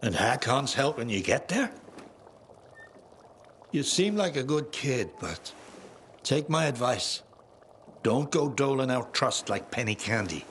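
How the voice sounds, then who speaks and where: An actor playing a cynical, world-weary middle-aged ex-soldier in a game cutscene. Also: male voice, medium pitch, sing-song, slow pace, long pauses, a bit bright, a little hiss or hum. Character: jaded, gruff middle-aged male ex-soldier. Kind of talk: video game cutscene voice acting.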